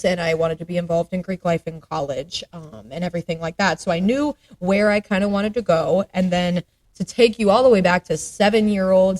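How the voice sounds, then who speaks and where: A woman's voice: on the low side, darkish tone, poor audio. A woman in her late twenties from the Atlanta area, a sports journalist chatting as a podcast guest in an upbeat way with lots of ums. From Atlanta area, Georgia. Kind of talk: casual interview chat.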